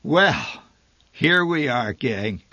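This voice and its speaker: A man's voice: medium pitch, big pitch swings, very slow, no room sound, pitched above the performer's own voice, exaggerated voice. A man doing a bellowing, hot-tempered dad. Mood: cheerful, eager. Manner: shouting, cursing, exasperated. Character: blustering, short-tempered middle-aged father.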